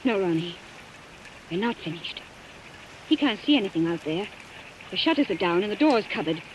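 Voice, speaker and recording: A woman acting in an old-time radio suspense drama as a frightened but quick-thinking accomplice, urgent and melodramatic. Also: medium pitch, expressive, natural voice, rough recording. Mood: urgent, scheming. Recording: vintage radio, faint noise and rain.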